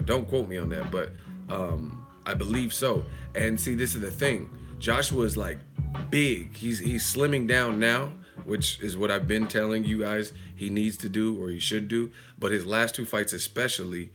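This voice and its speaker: A lower-voiced man with a hoarse, worn-out voice, talking loosely and profanely on a live stream. He rambles and circles back with lots of fillers while music plays clearly behind him. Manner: rambling, loose and profane, with many fillers like "you know what I mean". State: hoarse, losing his voice. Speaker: boxing commentary host on a live stream. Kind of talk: casual live-stream commentary.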